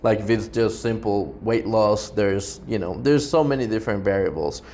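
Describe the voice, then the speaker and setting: Rambling low-voiced man hosting a podcast. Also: moderate pace, dark tone, a little hiss or hum. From Ecuador. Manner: rambling, heavy use of "like" fillers. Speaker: podcast host. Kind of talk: casual interview chat.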